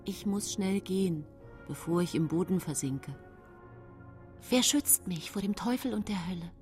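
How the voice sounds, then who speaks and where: An actress playing a calculating, self-pitying poisoner widow who narrates her own tale in a radio drama, with a husky voice. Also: on the low side, measured pace, a little mellow, soft background music, natural voice. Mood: uneasy.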